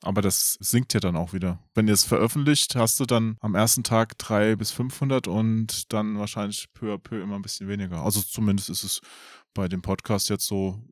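Low-ish, dry-sounding man hosting a podcast. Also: steady tone, fairly quick, no room sound. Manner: inquisitive, conversational. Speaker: podcast host, interviewer. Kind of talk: casual interview chat.

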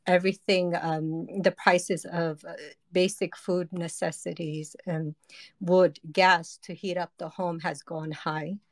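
Woman, Afghan-born organizer, earnest, lowish voice. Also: no echo. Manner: earnest, with frequent fillers. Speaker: campaign organizer. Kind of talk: speaking in an online audio discussion.

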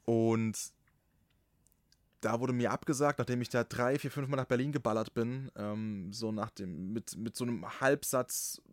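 A man from Saxony with a slight Saxon accent, hosting a podcast in casual, animated chat. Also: medium pitch, measured pace, no room sound, clean studio sound. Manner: animated, emphatic, swears casually. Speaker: podcast host.

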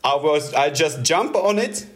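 A man with a Russian accent, telling a story in halting English with many fillers and restarts. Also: on the high side, animated, fairly bright tone. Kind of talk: casual storytelling.

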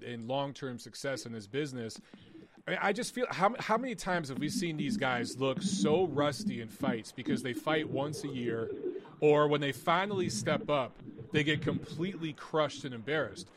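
A man hosting a live boxing call-in podcast, emphatic and opinionated, his voice going hoarse. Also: mid-range voice, animated, moderate pace, a loud crowd. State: losing his voice.

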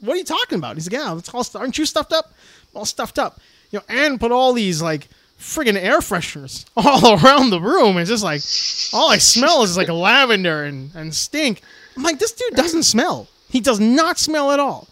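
A young man chatting casually on a podcast, telling a long story with frequent laughs and lively retellings. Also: extremely high pitch, moderate pace, no echo. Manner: rambling storyteller, laughs often, animated retellings. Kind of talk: casual chat.

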